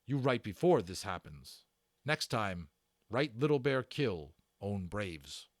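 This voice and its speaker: A man acts out a proud and stern storybook warrior chief. He speaks in weighty, clipped broken sentences with big swings of pitch, bitter yet honorable. The sound is close and dry. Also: sing-song, slow, dry recording. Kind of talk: dramatic storybook character reading.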